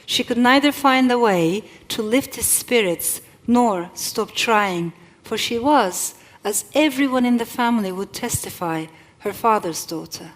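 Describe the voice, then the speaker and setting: A woman's voice: a little high, lively intonation, poor audio. Reflective woman author, non-native English. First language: not English. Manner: reflective, with measured pauses and occasional restarts. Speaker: author and guest. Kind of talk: thoughtful talk and reading from her novel. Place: live event stage.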